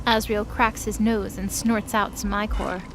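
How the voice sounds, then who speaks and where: A woman's voice: medium pitch, little pitch movement, measured pace, a little hiss or hum. A woman giving dramatic scripted narration as the narrator of an audio drama.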